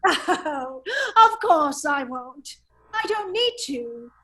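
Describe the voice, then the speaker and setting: A woman's voice: sits high, big pitch swings, extremely slow, fairly bright tone, full character voice. A woman playing a foppish, cunning nobleman in an amateur radio play, with a teasing, hesitant drawl. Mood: sly, teasing. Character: foppish, laughing English nobleman, a cunning hero in disguise. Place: remote virtual table read.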